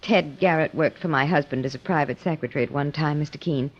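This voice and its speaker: An actress playing a refined, anxious widow in an old-time radio drama, with a formal, earnest, melodramatic delivery. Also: female voice, low-pitched, quick pace. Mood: composed. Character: refined, grateful, anxious newly widowed wealthy woman. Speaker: radio actor. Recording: muffled old radio broadcast.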